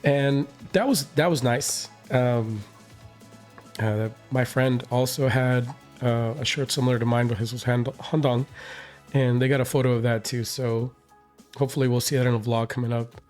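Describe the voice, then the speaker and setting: Hoarse man rambling through a recap. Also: mid-range voice, fairly even intonation, normal speed, a little mellow, faint music behind. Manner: rambling, many fillers and restarts. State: hoarse, recovering voice. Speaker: reaction channel host. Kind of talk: casual recap vlog.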